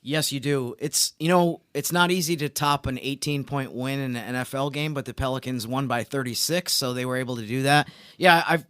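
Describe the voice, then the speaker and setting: A man talks sports as a podcast co-host, with a smooth, clean voice of average pitch. His delivery is conversational and explanatory. The recording is tight and dry. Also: fairly bright tone, no echo.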